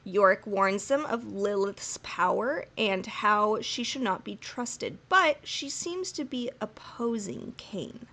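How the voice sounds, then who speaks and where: A woman's voice: medium pitch, animated, takes it slowly, few pauses, bright, forceful tone. A woman co-hosting a podcast, chatting casually with jokes and laughter, then reading a plot summary. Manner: laughing, joking, rambling. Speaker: podcast co-host. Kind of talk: casual chat and episode summary.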